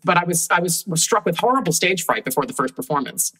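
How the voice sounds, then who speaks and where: A woman with a deep voice, a guest telling lively anecdotes in casual conversation and laughing often. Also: fast talker, slightly rough, rough recording. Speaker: guest puppeteer.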